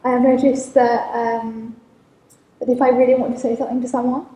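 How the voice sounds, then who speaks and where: A woman in the audience sharing her experience, halting with many ums and long pauses. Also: on the high side, flat delivery, mellow. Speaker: audience member. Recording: echoey hall mic from far off.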